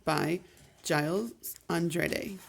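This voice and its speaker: A woman hosting a children's read-aloud channel, reading a rhyming picture book in an expressive, measured way. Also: lowish voice, vocal fry, slight background noise. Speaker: storyteller host.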